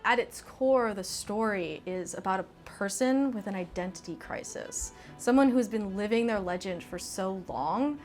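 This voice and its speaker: American woman, mid-pitched, over soft music. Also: normal speed, hardly any pauses. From American Southwest. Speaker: audio drama creator. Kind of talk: promotional interview commentary.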